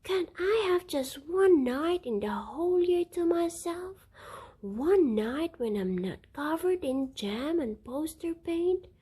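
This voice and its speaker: A woman's voice: extremely high pitch, expressive, takes it slowly, warm tone, voice pushed up, heavily acted. A woman voicing a harried, firm mother elephant in a storybook, with an emphatic, scolding manner. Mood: exasperated.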